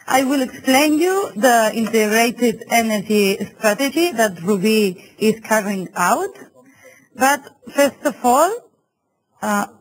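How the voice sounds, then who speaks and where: An English-speaking woman from Spain, presenting at a webinar in a halting way, searching for words. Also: a little high, poor audio. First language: not English. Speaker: city council technician, presenter.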